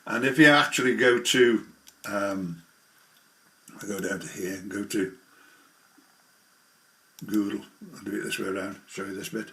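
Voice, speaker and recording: Bright-voiced, lowish man, rambling along. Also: steady tone, gravelly, poor audio. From the English Midlands. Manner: rambling, halting explanations. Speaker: hobbyist presenter demonstrating software. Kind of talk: casual screen demonstration.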